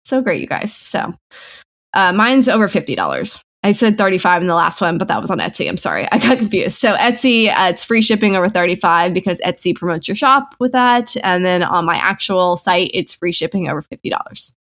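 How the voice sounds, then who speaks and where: A bubbly, giggly woman co-hosting a casual podcast. Her pitch jumps around a lot as she talks, and she picks up speed when she feels hurried. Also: big pitch swings, fairly bright tone. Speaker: podcast co-host.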